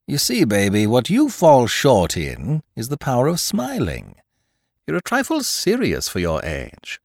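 A man reading aloud as a cocky, playful gentleman thief who teases and mocks in a theatrical way. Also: huge pitch swings, measured pace, vocal fry, husky, no echo, studio quality, exaggerated voice. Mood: mocking. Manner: teasing, theatrical swings in pitch. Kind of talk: audiobook character reading.

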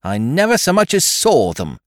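A man's voice: fairly low pitch, animated, normal speed, dark tone, no echo, studio quality, voice pushed up, close to an everyday voice. A man voicing a frightened young lady's maid, speaking reluctantly. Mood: pleading. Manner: pleading, reluctant.

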